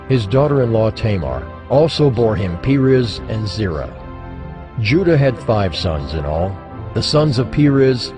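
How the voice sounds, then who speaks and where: A man reading scripture as scripted, dramatized narration, in a solemn, measured manner with deliberate pauses. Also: on the low side. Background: background music.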